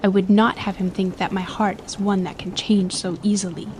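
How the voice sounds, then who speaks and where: A woman's voice: medium pitch, level tone, moderate pace, darkish tone, a little hiss or hum, lightly acted. A woman playing a proud, defiant young noblewoman in a dramatic audiobook, speaking with formal, impassioned dignity. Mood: firm, resolute. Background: ambience under the voice.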